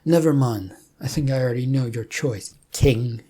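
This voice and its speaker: A woman's voice: very deep voice, normal speed, warm tone, slightly rough. A woman voicing a blunt, weary male adventurer in an audio storybook, plain-spoken and sardonic. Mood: exasperated, firm.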